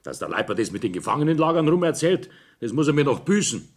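A man playing a nervous, scheming middle-aged father in a German radio play, with a husky voice. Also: fairly high pitch, big pitch swings, fast talker. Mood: calculating.

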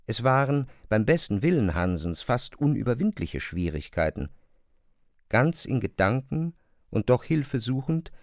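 A man reading literary fiction as a scripted narration, precise and expressive. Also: mid-range voice, no echo, clean studio sound. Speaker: audiobook narrator.

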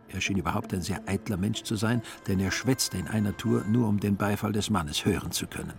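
A man narrating a literary radio play in a measured, ironic storytelling manner. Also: fairly low pitch.

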